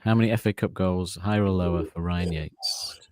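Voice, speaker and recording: A man's voice: low voice, steady tone, darkish tone, clear, resonant tone, dry recording. A man hosting a casual podcast quiz, teasing the players and drawing out his reveals. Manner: teasing, playful, drawn-out reveals. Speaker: quiz host.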